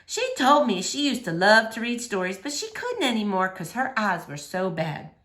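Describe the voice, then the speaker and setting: A woman's voice: mid-range voice, big pitch swings, normal speed, fairly bright tone. A woman reading a children's novel aloud as a storyteller addressing young listeners. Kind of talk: expressive children's novel narration.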